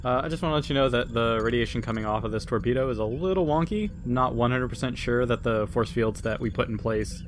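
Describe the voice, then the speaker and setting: A man's voice: mid-range voice, quick pace, few pauses. A man playing a tabletop role-playing game, talking casually with the group. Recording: faint background noise.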